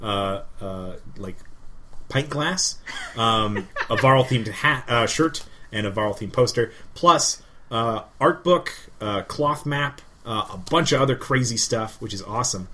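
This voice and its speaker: A man with a very bright, forceful voice in casual podcast conversation. He talks with slangy enthusiasm and swears freely. Some steady background noise sits behind him. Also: mid-range voice, measured pace. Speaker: podcast co-host.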